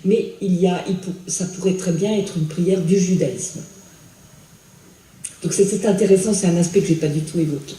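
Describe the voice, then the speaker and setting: Soft-toned woman lecturing in an echoey hall. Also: on the low side, normal speed, distant mic, a little hiss or hum. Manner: thoughtful, many restarts and hesitations. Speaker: theology professor giving a lecture. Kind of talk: answering audience questions. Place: attic lecture hall in a school.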